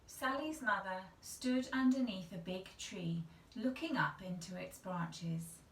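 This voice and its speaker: A woman storyteller reading to children with a noticeably creaky voice at medium pitch. She is some way from the microphone in a small, echoey room. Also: expressive, slow pace, distant mic. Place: small tiled-looking bathroom indoors. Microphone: camera a couple of metres away.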